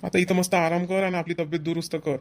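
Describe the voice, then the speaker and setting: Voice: medium pitch, little pitch movement, fast talker, close to an everyday voice. An actor playing an insistent, homely village brother in a family cartoon, with a rural Varhadi Marathi accent. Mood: concerned. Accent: Maharashtrian, rural Varhadi Marathi. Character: bossy but caring village brother and husband.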